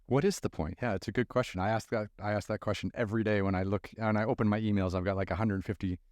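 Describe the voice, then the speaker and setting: A man's voice: lowish voice, fairly even intonation, quick pace, darkish tone, no room sound. A man hosting a podcast interview in a studio, talking in a loose, casual way and swearing freely. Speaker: podcast host. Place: recording studio. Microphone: close studio mic.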